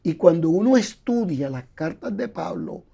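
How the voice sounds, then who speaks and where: An older man talks in a high, gravelly voice, with the rasp of fry in his tone. He explains at length, like a teacher in conversation, and piles up examples to make his points. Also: big pitch swings, moderate pace, warm tone. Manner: explanatory, lecturing with examples and repetitions. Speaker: guest, Bible scholar.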